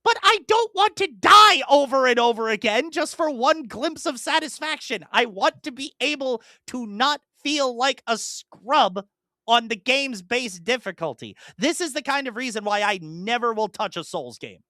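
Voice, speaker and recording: A man co-hosting a casual podcast, rambling with lots of fillers and restarts, slipping into animated, mock-exasperated asides. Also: very high-pitched, moderate pace, no room sound, studio quality. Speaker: podcast co-host and streamer.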